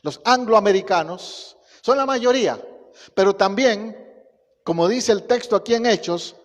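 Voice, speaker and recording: A man from Costa Rica with a Costa Rican Spanish accent, a pastor preaching a sermon and telling stories in a lively way. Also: high-pitched, measured pace, long reverb tail. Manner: storytelling, animated, laughs at own anecdotes. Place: church sanctuary.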